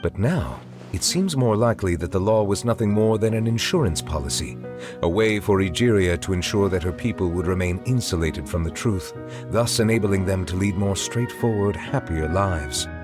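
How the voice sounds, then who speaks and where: A reserved, dignified judge from a video game, with a lowish voice. He speaks in formal, courteous, measured phrases over clearly audible music. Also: animated. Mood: solemn.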